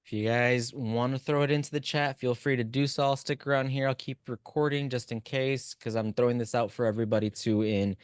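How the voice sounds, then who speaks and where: Encouraging male instructor, smooth dry voice. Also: medium pitch, a little fast, hardly any pauses, no room sound. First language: Spanish. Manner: relaxed, encouraging, talks to chat by name. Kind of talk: live online class wrap-up.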